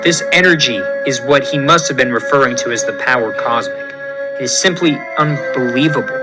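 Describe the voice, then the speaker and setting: A man's voice: mid-range voice, brisk, pitched below the performer's own voice, lightly acted, rough recording. Man doing a brainy precise team leader. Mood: explaining. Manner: precise, explanatory. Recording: music playing underneath.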